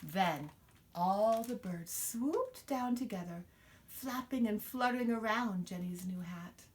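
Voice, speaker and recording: Female storybook reader, expressive and lively in pitch, taking her time over the words. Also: slow, slight background noise. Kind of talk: children's picture book read-aloud.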